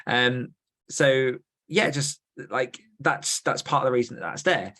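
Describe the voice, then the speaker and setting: A man's voice: mid-range voice, a little slow, sharp tone. A man hosting a podcast, explaining things earnestly in casual conversation. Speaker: podcast host.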